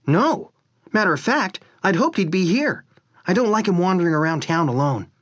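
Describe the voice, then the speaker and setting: An actor playing a fretful, good-hearted office partner, earnest and fussing, in a comic-book drama. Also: male voice, high voice, sing-song, normal speed, fairly bright tone, dull audio. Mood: concerned. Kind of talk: dramatized comic-book read-along acting.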